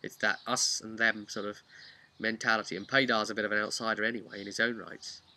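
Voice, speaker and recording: A man casually retelling a tabletop role-play story in a halting, chatty way with wry asides. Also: on the low side, flat delivery, bright, forceful tone. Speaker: host of a tabletop role-play campaign recap. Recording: clear background noise with birdsong.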